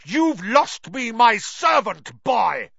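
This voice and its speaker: A man's voice: very high voice, very animated, a little fast, vocal fry, a bit bright, breathy edge, no room sound, lo-fi sound, pitched above the performer's own voice, heavily acted. A man voicing a cold, haughty aristocratic villain in an audiobook, menacing and imperious. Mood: furious. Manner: sneering, imperious.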